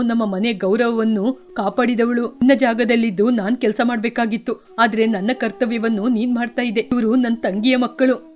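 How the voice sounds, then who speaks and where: A woman's voice: fairly high pitch, darkish tone, pitched below the performer's own voice, close to an everyday voice. A woman voicing a proud, wealthy middle-aged man full of remorse, speaking in a heavy, regretful way.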